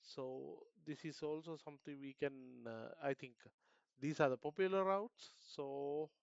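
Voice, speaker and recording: A man's voice: on the high side, measured pace, clear, resonant tone, dry recording, lo-fi sound. A man with a Sri Lankan accent, thinking aloud hesitantly in casual commentary as a flight simulator streamer.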